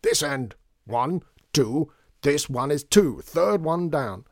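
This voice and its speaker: A booming storybook shopkeeper acted by a man, all forced jollity and flourish. His pitch rises and swings widely as he proclaims, in a close, dry recording. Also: animated, slow, dry recording. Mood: bellowing. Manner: bellowing, theatrical flourish, forced cheer. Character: cheerful blustering shopkeeper man, big-bellied, forcedly jolly, booming. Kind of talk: audiobook storytelling.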